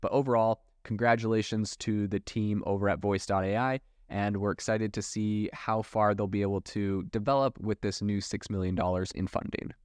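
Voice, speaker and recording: A man with a fairly low voice hosts a solo tech podcast. He talks in a casual, conversational style with loosely built sentences. The sound is dry, with little room to it. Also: dry recording. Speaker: podcast host.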